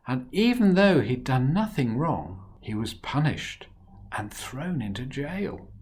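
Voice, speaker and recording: A man reading a Bible story to children expressively and dramatically, moving between hushed and emphatic delivery. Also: medium pitch, husky.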